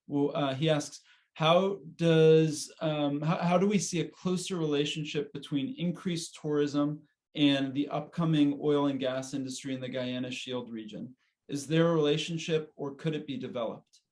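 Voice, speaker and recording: A man's voice: a little high, fairly even intonation, moderate pace, darkish tone. A man moderating a panel on a video call, polite and reading out audience questions.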